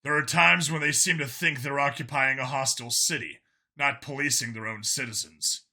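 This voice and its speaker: Man reading as serious male commander, decisive, measured, bright forceful voice, mid pitch, audiobook dialogue. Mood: pensive, probing. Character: firm, principled male commander of a police team.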